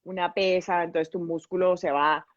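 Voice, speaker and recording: A woman's voice: fairly low pitch, steady tone, normal speed, dry recording, dull audio. A woman with a Colombian accent speaking reflectively as an interview guest, explaining her thoughts and checking in with her listener. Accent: Colombian Spanish. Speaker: podcast guest and grief facilitator. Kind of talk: conversational interview answers.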